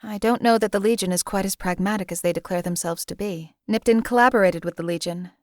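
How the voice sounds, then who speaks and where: A woman voicing a cold, detached female hired assassin who speaks in a measured, formal, cynical way. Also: medium pitch, dry recording, clean studio sound, natural voice. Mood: cool, detached. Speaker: companion character voice. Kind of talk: game voice lines.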